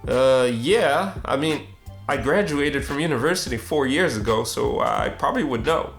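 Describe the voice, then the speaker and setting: A man's voice: mid-range voice, animated, moderate pace, gravelly, faint music behind. A young man, a street photographer, telling a personal story in a casual, conversational way and acting out both sides of the dialogue. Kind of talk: reflective storytelling narration.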